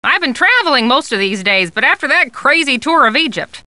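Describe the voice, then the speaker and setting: A chatty woman photojournalist from an adventure game, gushes and rambles in a high, very animated voice. She is scatterbrained and easily carried away, and the sound is dry and close. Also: a little fast, dry recording, exaggerated voice. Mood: chatty, informative. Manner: gabby, gushing, rambling. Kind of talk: video game character dialogue.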